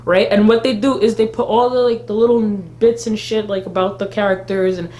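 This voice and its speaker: A woman's voice: medium pitch, steady tone, fairly quick, mellow. A woman co-hosting a casual fan livestream, opinionated and blunt, swearing freely as she rants. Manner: blunt, sweary, exasperated ranting. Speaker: fan vlog co-host. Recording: roomy, slightly noisy livestream audio.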